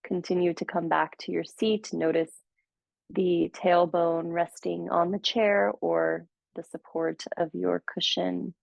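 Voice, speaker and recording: A woman guiding a meditation, speaking slowly and gently with soothing pauses. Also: lowish voice, little pitch movement, creaky, dry recording. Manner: slow, gentle, soothing guidance with long pauses. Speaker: meditation teacher. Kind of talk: guided meditation. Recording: dull, muffled audio.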